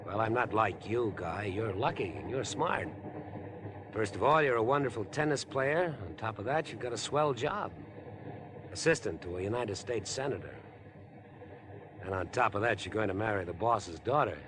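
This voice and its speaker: Man acting insinuating, animated murder schemer. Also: lowish voice, moderate pace, background noise, close to an everyday voice. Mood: ingratiating. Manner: insinuating, overfriendly, wheedling. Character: chatty, ingratiating, obsessive murder-plotting man on a train. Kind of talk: 1950s radio drama acting. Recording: vintage 1950s radio broadcast.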